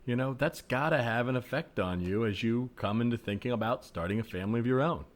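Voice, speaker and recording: A man improvising a level-headed, skeptical straight man in a comedy scene, reasoning in a measured, frank way. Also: medium pitch, close to an everyday voice. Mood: patient, probing. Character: level-headed, skeptical man advising his sister's boyfriend.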